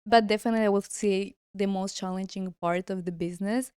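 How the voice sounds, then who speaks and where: A woman's voice: medium pitch, fairly even intonation, fairly quick, a little mellow, clean tone, no echo, clean studio sound. A young woman from Colombia with a Colombian accent, a native Spanish speaker chatting casually as an interview guest. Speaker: interview guest, studio owner. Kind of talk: casual chat.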